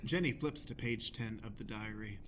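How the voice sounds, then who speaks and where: A man's voice: on the low side, normal speed, fairly bright tone, slight background noise. A man narrating stage directions for an improvised radio play in a matter-of-fact announcing manner.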